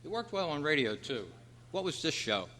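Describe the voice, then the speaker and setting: Guy hosting a club quiz from the stage, sharp bright voice, big echoey room. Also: a little high, sing-song, normal speed. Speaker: quiz host at a club meeting. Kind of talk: live quiz hosting and club announcements to an audience. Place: large meeting room at a convention. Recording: echoey hall, long reverb.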